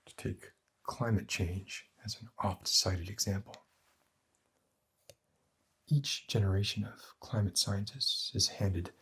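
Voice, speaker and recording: Man playing a husky, morbid doctor. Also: a bit bright, full character voice. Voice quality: husky, breathy, low. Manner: pedantic, deliberate, bleak. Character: morbid, cynical male doctor treating depression with despair. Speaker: doctor in an ASMR roleplay. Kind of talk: ASMR roleplay monologue, philosophical lecturing.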